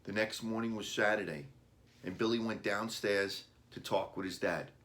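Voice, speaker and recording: Low-pitched man narrating a storybook. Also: moderate pace, a bit bright. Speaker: author reading own book. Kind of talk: scripted children's storybook narration.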